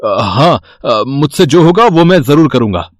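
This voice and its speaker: A man's voice: on the high side, very animated, unhurried. A man playing a defensive, apologetic driver in a moral story, with a hesitant manner. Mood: defensive, uneasy.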